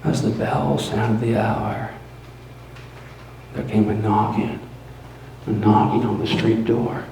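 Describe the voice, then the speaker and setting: A man playing a boastful, unraveling mad murderer in a theatrical live reading of gothic horror, with dramatic pauses and swings between hushed and frantic. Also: lowish voice, flat delivery, voice pushed down. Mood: smug, excited. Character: gleeful, boastful, unraveling mad murderer confessing his crime. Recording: echoey room with background noise.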